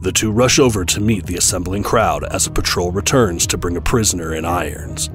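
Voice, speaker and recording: A man with a husky voice narrating a scripted audio drama. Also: low-pitched, fairly quick, over music.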